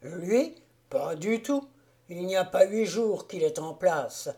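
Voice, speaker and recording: A woman voicing a hungover, grumbling middle-aged road mender who complains loudly and drags out his words. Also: on the low side, animated. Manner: loud, drawn-out complaining. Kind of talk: audiobook character voice.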